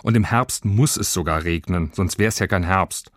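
A man voicing a brisk, practical mother in a children's story. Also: sits low, no echo, clean studio sound, close to an everyday voice. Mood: matter-of-fact. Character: matter-of-fact mother of a schoolgirl, brisk and practical.

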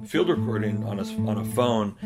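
Man, native English speaker, low pitch, halting reflective interview, plucked guitar music behind. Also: animated. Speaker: interviewee, music archivist and field recordist.

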